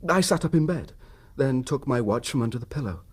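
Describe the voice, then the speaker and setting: An actor playing a troubled, suspicious husband in a radio drama, speaking in formal, precise phrases. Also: male voice, mid-range voice, animated, a little fast, darkish tone, husky. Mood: grave, puzzled. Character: troubled, suspicious husband recounting his wife's secret.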